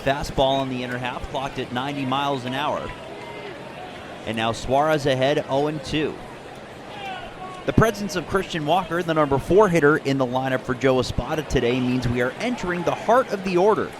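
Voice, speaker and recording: A male radio play-by-play announcer with a medium-pitched voice, calling baseball in a measured, descriptive way. Clear ballpark ambience runs behind him throughout. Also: background noise. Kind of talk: live radio sports commentary with sponsor reads.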